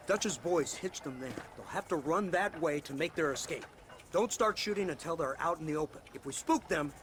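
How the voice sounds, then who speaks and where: A man's voice: a little high, a bit bright, slight background noise. A man playing a firm, businesslike lawman giving instructions in a Western video game cutscene. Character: commanding, businesslike male lawman leading an ambush.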